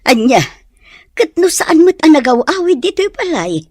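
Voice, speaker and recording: Woman acting fretful mother, high swooping voice. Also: very animated, moderate pace, a little mellow, no room sound, studio quality. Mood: alarmed. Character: anxious, fretful mother of a grown son. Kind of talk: Ilocano audio drama acting.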